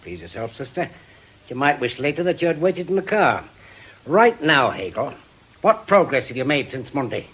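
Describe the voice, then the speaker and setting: A man's voice: mid-range voice, sing-song, normal speed, fairly bright tone, slightly rough. An actor playing an obsessive, cantankerous veteran ex-policeman in a British radio drama, brusque, scornful and insistent.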